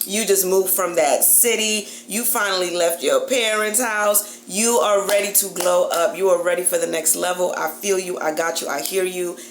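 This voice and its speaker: A woman, giving casual, emphatic, sisterly advice in a colloquial vlog style with drawn-out words. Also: on the low side, fairly even intonation. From Jamaica-born, grew up in the Bronx, New York. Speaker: YouTube host, dating and lifestyle coach. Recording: echoey room with clear background noise.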